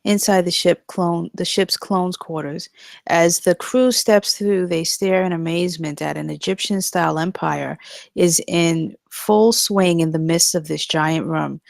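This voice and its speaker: Creaky-voiced woman narrating a script. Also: on the low side, dry recording, studio quality. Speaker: narrator reading stage directions. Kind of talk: scripted narration, live table read.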